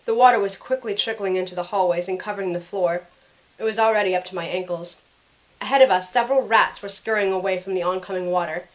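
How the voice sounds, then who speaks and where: A woman's voice: mid-range voice, quick pace, close to an everyday voice, rough recording. A woman playing a caring young heroine who narrates her own ordeal in a scripted first-person audio drama. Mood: tense narration.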